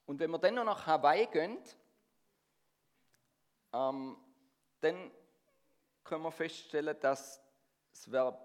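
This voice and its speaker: Man, Swabian accent with a Swiss touch, middle-aged preacher, lightly raised voice, asks the room questions. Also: on the high side. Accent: Swabian-tinged Swiss German. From Swabia, near Stuttgart. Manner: engaging, asks the congregation questions. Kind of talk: sermon.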